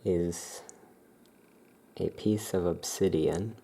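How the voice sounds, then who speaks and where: A soft-spoken ASMR performer playing a shopkeeper, presenting items in an unhurried, explanatory way. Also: deep voice, fairly even intonation.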